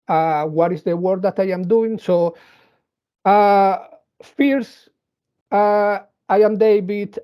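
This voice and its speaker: A man's voice: high-pitched, mellow. A man from Venezuela and Colombia, a native Spanish speaker with a Latin American Spanish accent, giving an educational talk to school pupils as a robotics engineer, hesitant with many fillers and restarts.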